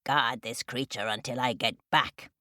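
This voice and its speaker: A woman voicing an angry, bossy male crow in a children's story, rasping and scolding with menace. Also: rumbling low, fairly even intonation, measured pace, sharp tone, no room sound, clean studio sound, pitched below the performer's own voice, heavily acted. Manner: cawing, scolding, threatening.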